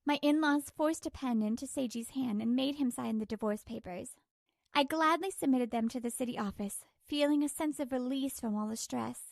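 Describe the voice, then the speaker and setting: Give me a woman narrator for a scripted first-person story, high in pitch, with a dry sound. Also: normal speed, creaky, dry recording.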